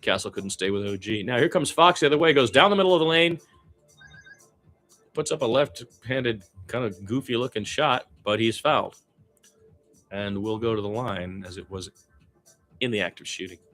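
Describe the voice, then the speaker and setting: A man's voice: on the low side, lively intonation, normal speed, long pauses, a bit bright, soft background music. A man doing amateur radio-style basketball play-by-play, conversational, with his own opinions mixed in.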